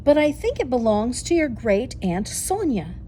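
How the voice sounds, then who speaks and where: A woman voicing a calm, helpful elderly grandmother with a thoughtful manner in a storybook reading. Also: a little high, normal speed, a little hiss or hum, voice pushed up. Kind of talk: children's storybook read-aloud.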